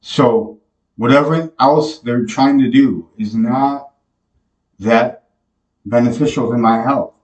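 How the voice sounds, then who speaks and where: A young man delivers a slow, meandering monologue straight to camera, trailing off and restarting with plenty of fillers. He sits a few feet from the microphone in a small, bare, echoey room, so his voice sounds distant and roomy. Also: mid-range voice, darkish tone, lo-fi sound. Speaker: podcast host. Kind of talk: rambling devotional monologue. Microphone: camera mic from a few feet away.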